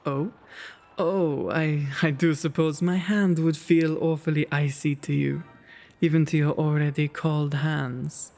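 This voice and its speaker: Man as a slow-spoken, kindly gentleman vampire. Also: a little high, steady tone, creaky. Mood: sheepish. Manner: courteous, doting, old-fashioned refined phrasing. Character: kind, genteel male vampire host of an old mansion. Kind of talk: ASMR roleplay acting.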